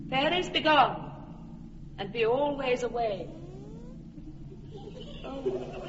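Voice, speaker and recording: A dreamy fairy queen whose voice is high and airy. She lingers slowly over every line, and a muffled, hissy old radio recording surrounds her. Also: in a large hall. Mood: doting. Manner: lyrical, lingering verse. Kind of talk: classic radio drama acting.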